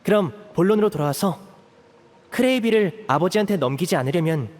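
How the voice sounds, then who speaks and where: An actor playing a protective, earnest young man in a video game, speaking in a measured, resolute way. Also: high voice, expressive, a little mellow, long reverb tail. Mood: composed. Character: protective, earnest young elder brother figure, raised an orphan.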